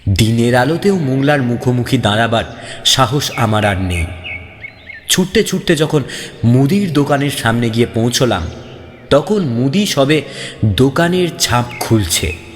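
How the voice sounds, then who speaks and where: A man's voice: medium pitch, expressive, unhurried, slight background noise. A man reading a scripted ghost story as a narrator, in a dramatic, suspenseful and theatrical manner. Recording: long reverb, background music. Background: music.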